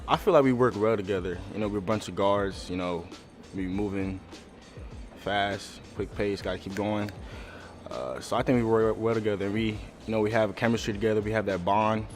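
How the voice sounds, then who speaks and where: Laid-back young man, loud music behind. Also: lowish voice, fairly even intonation, brisk. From Connecticut. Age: young adult. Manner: laid-back, repeats himself. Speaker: college freshman athlete. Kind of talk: recorded player interview. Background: music bed.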